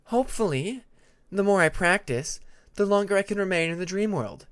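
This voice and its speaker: Man voicing an earnest young dragoness, raised pitch. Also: animated, normal speed, fairly bright tone, clean tone. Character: young female dragon sorceress, earnest, patient explainer.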